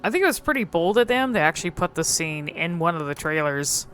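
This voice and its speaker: Low-voiced woman doing casual game commentary. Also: expressive, a little fast, fairly bright tone, soft background music. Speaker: video host exploring game cutscenes.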